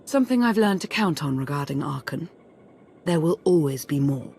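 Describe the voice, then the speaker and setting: A woman playing a brisk, sardonic Sith ally in a video game, with a dry, urgent manner. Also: deep voice, animated, vocal fry, background noise. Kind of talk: cinematic video game acting.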